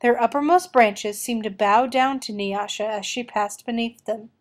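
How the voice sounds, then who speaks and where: Higher-voiced woman reading a storybook. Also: normal speed. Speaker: storybook reader. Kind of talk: expressive read-aloud storytelling.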